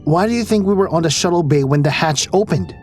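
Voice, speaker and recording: A man's voice: on the high side, lively intonation, fast talker, a little mellow, soft background music, lightly acted. A man playing a wry, pragmatic space crewman in an audio drama, dry and a little exasperated. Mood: tense, pleading.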